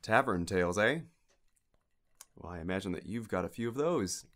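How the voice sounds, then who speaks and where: Man playing a low, courteous, reserved stranger. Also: animated, normal speed, fairly bright tone, a little hiss or hum. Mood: guarded, polite. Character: polite, reserved male bar stranger, slightly formal. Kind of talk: audio drama acting.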